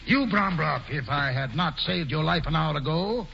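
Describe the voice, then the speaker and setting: An aging pompous governor. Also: male voice, on the high side, vocal fry, slight background noise. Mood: blustering. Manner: slow crooning singing, then blustering commands. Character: aging pompous male colonial governor courting a young maiden. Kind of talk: 1940s radio musical drama. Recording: dull vintage radio broadcast with loud orchestra.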